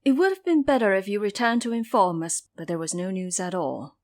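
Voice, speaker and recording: A woman's voice: mid-range voice, expressive, fairly quick, a little mellow, lightly acted. A woman voicing an earnest, pleading young male dragon in horse form for an audio drama. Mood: reproachful. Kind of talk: audio drama character acting.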